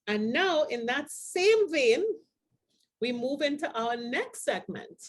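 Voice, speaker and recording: An older woman co-hosting a talk show, speaking to viewers in a deliberate, emphatic manner. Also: sits high, animated. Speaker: co-host of a show for the over-fifties.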